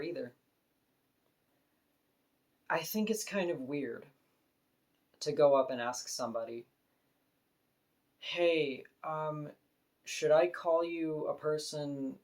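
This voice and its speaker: A woman with a deep voice, talking frankly and casually to camera in an opinion vlog, now and then laughing softly. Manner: frank, conversational, with soft laughs. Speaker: vlogger.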